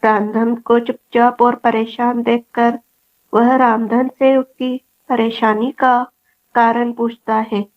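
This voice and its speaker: A woman's voice: a little high, little pitch movement, slow, muffled recording. A woman narrating a scripted children's story.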